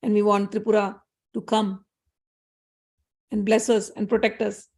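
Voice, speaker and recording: A woman's voice: mid-range voice, flat delivery, measured pace, a little mellow. A woman voicing a frightened, devout band of gods who plead for protection. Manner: pleading, fervent.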